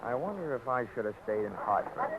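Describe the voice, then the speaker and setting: A man's voice: mid-range voice, big pitch swings, brisk, background noise, natural voice. An actor playing a dry, wisecracking insurance investigator who narrates his own case in a 1940s radio drama. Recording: dull old radio broadcast with music.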